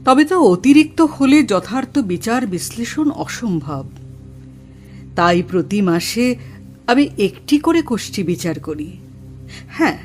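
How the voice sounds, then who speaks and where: A dignified mystic lady, really slow and formal, solemn radio drama delivery, music under it. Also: medium pitch, darkish tone. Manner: solemn, formal, measured pronouncements. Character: dignified, imposing unmarried woman tantric mystic and astrologer. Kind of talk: audio drama acting.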